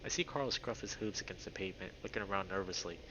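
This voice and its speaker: A man with a fairly low voice hosts a live game stream. He reads a visual novel aloud and talks casually to his chat. The sound is a little dull, with faint noise behind him. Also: fast talker. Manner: chatty, greets viewers by name.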